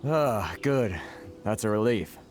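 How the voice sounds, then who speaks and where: Weary, low-voiced merchant. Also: male voice, animated, takes it slowly, a little hiss or hum. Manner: sighing, resigned, formal wording. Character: weary, beleaguered male foreign merchant leader. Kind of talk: video game voice acting. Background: game music.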